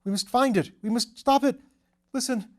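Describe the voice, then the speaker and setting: A man's voice: very high-pitched, normal speed, darkish tone, voice pushed up. A man voicing a shell-shocked young English lord in an audiobook, feverish, frightened and pleading. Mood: panicked. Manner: urgent, agitated, pleading. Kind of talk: audiobook character voice.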